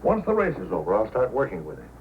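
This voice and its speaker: A man's voice: fairly low pitch, wildly swooping pitch, fast talker, a little hiss or hum. An actor playing a confident, fatherly male sled-dog racer with a folksy, good-natured manner in an old-time radio drama. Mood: hearty, fatherly. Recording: dull old radio recording with music.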